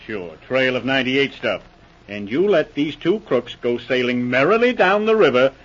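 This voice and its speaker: An actor playing an exasperated, officious police inspector with a brisk, sardonic manner in a radio drama. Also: male voice, medium pitch, animated, a bit bright. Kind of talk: 1940s radio drama acting. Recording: dull vintage radio recording.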